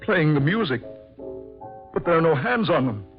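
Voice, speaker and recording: An actor playing a wealthy, haunted murderer with formal, dramatic diction in a 1940s radio horror melodrama. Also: male voice, fairly high pitch, sing-song, normal speed. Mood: haunted, fearful. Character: wealthy haunted male murderer, suave but anxious. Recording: muffled vintage radio recording, music behind.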